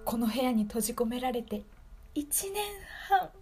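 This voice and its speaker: A woman playing a frightened captive locked in a room, in a dramatic, halting monologue for an improvised radio drama. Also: on the high side, lively intonation, over loud music. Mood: wistful, trapped. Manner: dramatic, halting, breathy at times, with emphatic repetition.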